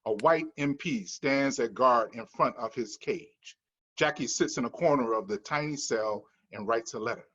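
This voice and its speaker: A man's voice: mid-range voice, normal speed, fairly bright tone, dull audio. A man reading the stage directions of a screenplay at a table read in a matter-of-fact manner.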